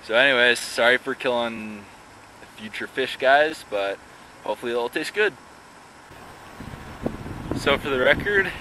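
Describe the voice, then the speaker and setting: A young man vlogging outdoors with a camera held at arm's length, talking in a chatty, rambling way. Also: mid-range voice. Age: young adult. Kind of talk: casual fishing vlog. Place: outdoors by a pond. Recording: faint outdoor background noise.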